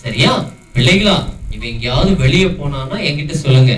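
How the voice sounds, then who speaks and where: A man's voice: medium pitch, expressive, brisk, close to an everyday voice. A man playing a stern but kind father puppet who lectures his son about obeying. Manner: scolding, lecturing. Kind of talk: puppet show for children. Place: prayer house hall. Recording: echoey hall, distant mic, faint noise.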